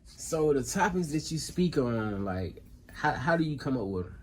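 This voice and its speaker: A man asks questions as an interviewer in an informal, conversational style. His mid-pitched voice comes with slight ambient noise behind it. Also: very animated, moderate pace. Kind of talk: casual chat.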